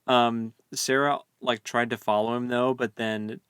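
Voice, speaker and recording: Casual man with narrow pitch range. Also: flat delivery, fairly bright tone, clear, resonant tone, dry recording, clean studio sound. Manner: halting, informal phrasing. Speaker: podcast co-host. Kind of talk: casual book discussion.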